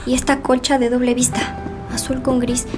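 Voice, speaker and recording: An actress voices a female kidnapping victim in an audio drama. Her high voice is firm and certain as she testifies over background music. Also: level tone, moderate pace, natural voice.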